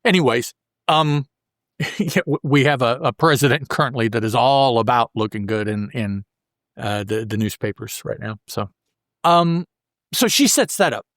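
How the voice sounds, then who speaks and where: A man's voice: mid-range voice, normal speed, a bit bright, no room sound, studio quality. A man hosting a podcast, recapping a comic book in a casual, colloquial way with joking asides. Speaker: podcast host.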